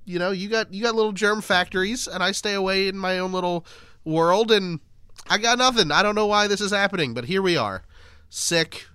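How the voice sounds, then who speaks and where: A male podcast host with a bright, fairly high voice, nasal and stuffed up from a cold. He chats loosely and rambles about the games, and the recording is dry and close. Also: fairly quick, clear, resonant tone, dry recording. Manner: chatty, rambling, self-deprecating. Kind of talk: casual sports podcast chat.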